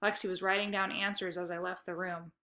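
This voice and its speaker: Bright-voiced woman reading a children's book. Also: fairly low pitch, fairly quick. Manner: lively storytelling. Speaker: reader.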